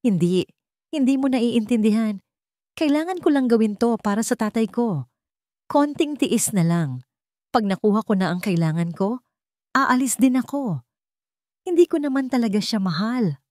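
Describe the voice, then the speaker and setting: A woman's voice: a little high, expressive, normal speed, long pauses, darkish tone, no room sound, clean studio sound, pitched above the performer's own voice, natural voice. A woman with a Filipino accent voicing a young, self-sacrificing nurse in a dramatic romance story. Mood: pleading, resigned.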